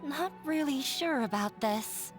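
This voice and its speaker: A woman's voice: high-pitched, lively intonation, slow pace, over music. A woman playing a shy, self-doubting young woman in a video game, hesitant and nervous. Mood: doubtful.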